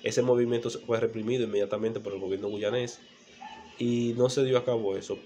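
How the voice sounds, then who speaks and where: A man's voice: medium pitch, fairly even intonation, brisk, warm tone, slight background noise. A man with a Dominican Spanish accent presents a geopolitical history explainer, speaking didactically with rhetorical questions and repeated phrases. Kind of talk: explanatory history monologue to camera.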